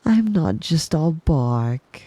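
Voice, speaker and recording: A man playing a possessive, doting yandere wolf boy in an intimate ASMR roleplay, slow and soft, cooing praise and often dropping into a whisper at a close mic. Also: on the high side, very animated, no echo, exaggerated voice. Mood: smug devotion.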